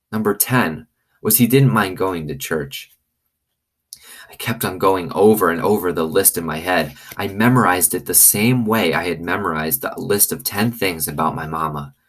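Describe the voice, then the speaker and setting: Low-voiced man reading a story aloud. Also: unhurried, fairly bright tone. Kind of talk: expressive storybook reading with commentary.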